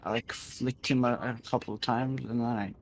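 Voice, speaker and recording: A man telling improvised stories casually, rambling and halting, often repeating his phrases. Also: mid-range voice, steady tone, creaky, slight background noise. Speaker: storyteller in a gaming video. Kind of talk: casual improvised storytelling while gaming.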